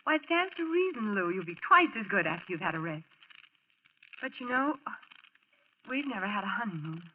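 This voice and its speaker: A woman playing a brave, tender, devoted young wife with expressive 1940s radio drama acting. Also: mid-range voice, brisk, lightly acted. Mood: brave cheer. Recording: noisy, tinny old radio broadcast recording.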